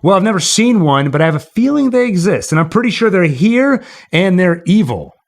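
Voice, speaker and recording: A man's voice: high voice, sing-song, brisk, pitched above the performer's own voice. A man playing a smug psychic doctor from a spooky radio play, speaking in a knowing manner. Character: smug male psychic doctor who believes in ghosts.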